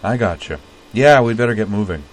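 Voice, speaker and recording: A man's voice: lowish voice, animated, brisk, slight background noise, lightly acted, rough recording. A man reading a storybook, voicing a friendly, caring young woman who talks casually. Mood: easygoing. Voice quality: casual, easygoing speech.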